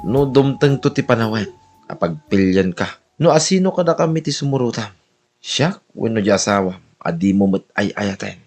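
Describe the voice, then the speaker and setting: A man's voice: on the low side, expressive, normal speed, darkish tone, faint music behind. A man playing a persuasive, questioning figure in an Ilocano family audio drama, with a pressing manner. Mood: pressing, hurt. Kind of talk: Ilocano radio drama acting.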